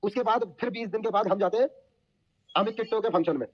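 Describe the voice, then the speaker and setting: A man's voice: high-pitched, fast talker. A man on a dull recorded call, telling gossip informally in an emphatic, colloquial way.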